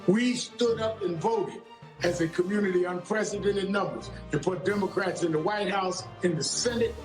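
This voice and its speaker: An American man makes an urgent, emphatic political appeal. His voice is high for a man and rings in an echoey room, with some music behind it. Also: moderate pace. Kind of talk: impassioned political statement. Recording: roomy, echoing sound.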